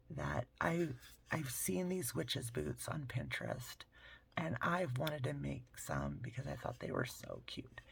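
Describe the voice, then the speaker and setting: A middle-aged woman with a hoarse, gravelly voice chats slowly through her craft vlog. Her voice is husky and keeps slipping into whispers. The sound is dry and close. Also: deep voice, no room sound. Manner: chatty, rambling, drops into whispers. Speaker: craft channel host. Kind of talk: casual craft vlog chat.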